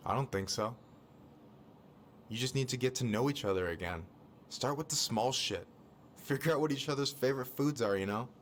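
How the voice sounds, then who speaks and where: A man's voice: mid-range voice, normal speed, long pauses, a little hiss or hum, natural voice. A man playing a laid-back, supportive young American friend in a video game, colloquial and reassuring. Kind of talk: naturalistic video game dialogue.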